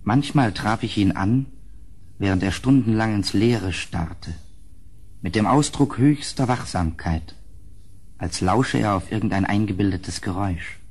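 A man playing an anxious, well-mannered houseguest in a gothic horror radio play, with a grave, literary delivery and a husky voice. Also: lowish voice, lively intonation, unhurried, a little mellow, slight background noise. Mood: grave, dread.

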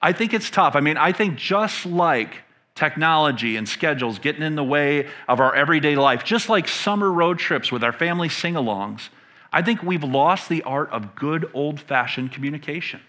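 A middle-aged American man, a pastor giving a conversational sermon, emphatic and pausing to put questions to his listeners. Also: a little high, animated, pushed and bright. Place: indoor stage in a church room.

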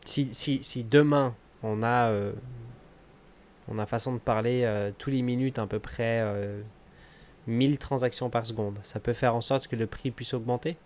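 A man's voice: mid-range voice, fairly even intonation, unhurried, darkish tone. A man interviewing over a video call, chatty and enthusiastic, with frequent hesitations. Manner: enthusiastic, filler-heavy, with many "euh". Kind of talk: casual interview chat. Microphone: webcam video call.

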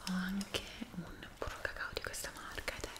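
A woman, speaking soothingly in a breathy whisper in an ASMR roleplay. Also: echoey room. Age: 20s. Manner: soft, soothing, unhurried. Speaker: ASMR creator.

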